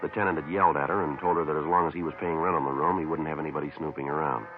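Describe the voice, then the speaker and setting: An American actor playing a terse, deadpan police detective in a 1950s radio drama, polite and businesslike in clipped sentences. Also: male voice, rumbling low, rapid-fire, soft background music. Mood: matter-of-fact. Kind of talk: 1950s radio drama acting with first-person narration. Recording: narrow, noisy vintage 1950s radio recording.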